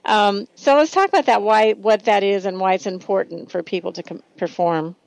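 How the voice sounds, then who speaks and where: A woman talk host with a noticeably creaky voice and mid pitch. She speaks loosely and hesitantly in a casual interview style, recorded close and dry. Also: moderate pace, darkish tone, no echo, low-quality recording. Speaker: radio show host.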